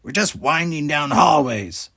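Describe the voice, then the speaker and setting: A man's voice: on the high side, animated, a little slow, vocal fry, sharp tone, dry recording, clean studio sound, voice pushed up, full character voice. A man voicing a menacing, curt female alien pirate boss in a sci-fi storybook, snapping out commands. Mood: impatient, angry.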